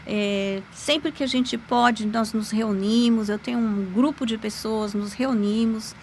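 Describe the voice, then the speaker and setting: A woman, a podcast guest, telling a personal story in an animated, emphatic way with sudden pitch jumps. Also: unhurried, a little hiss or hum. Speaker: podcast guest, lawyer and magic teacher. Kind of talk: conversational storytelling.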